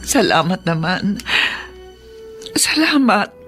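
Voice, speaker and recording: An actress playing a humbled older mother-in-law in a Filipino radio drama, emotional, halting and pleading. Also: medium pitch, big pitch swings, fairly bright tone, soft background music. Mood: grateful, remorseful. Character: remorseful older mother-in-law, once mean, now humbled.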